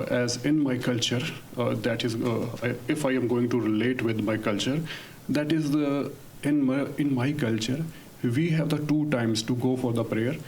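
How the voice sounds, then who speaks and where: A man's voice: mid-range voice, a little mellow, a little hiss or hum. Hesitant man from India. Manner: hesitant, restarting phrases. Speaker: student presenter.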